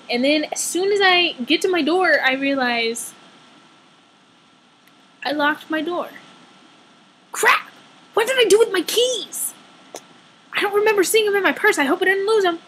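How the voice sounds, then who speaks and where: A young woman with a very high voice chats through a personal story like a vlogger. She tells it casually and with exaggeration, slipping into little quoted asides as she goes. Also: fairly bright tone, a little hiss or hum, low-quality recording.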